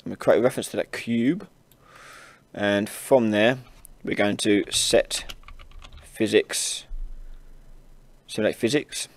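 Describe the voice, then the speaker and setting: A man hosting an informal software tutorial, casually explaining each step as he demonstrates it on a close mic. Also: lowish voice, takes it slowly, pauses often, no room sound. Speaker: tutorial host. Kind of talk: informal screen-recorded software tutorial narration.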